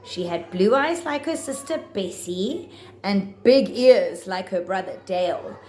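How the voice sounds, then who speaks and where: A woman reading rhyming picture books aloud to young children with theatrical, deliberate phrasing. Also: mid-range voice, lively intonation, darkish tone, a little hiss or hum. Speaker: children's storybook reader.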